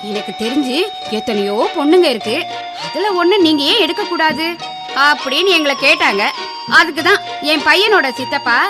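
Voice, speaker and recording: A high-voiced, indignant mother in a radio drama. She scolds with scorn and a sharp tongue, over a clearly audible music bed. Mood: scornful. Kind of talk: Tamil radio drama acting.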